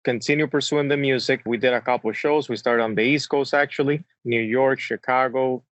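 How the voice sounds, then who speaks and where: A man's voice: mid-range voice, brisk, no echo. Man from the Dominican Republic, dull dry sound. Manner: relaxed, many fillers like "uh" and "kinda". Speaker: guest entrepreneur. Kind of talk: casual interview answers.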